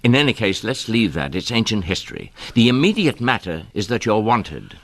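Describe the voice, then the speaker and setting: A stern senior official, pompous and imperious. The voice is very animated in pitch, close and dry, in formal old radio drama style. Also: male voice, normal speed, no echo, exaggerated voice.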